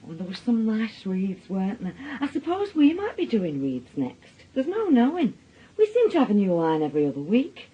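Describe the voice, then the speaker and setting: An actress playing a soothing, gossipy middle-aged family friend with a Northern English accent in a radio drama. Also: female voice, mid-range voice, huge pitch swings, normal speed, slightly rough. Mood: chatty, sympathetic. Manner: gossipy, placating. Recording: dull radio drama recording.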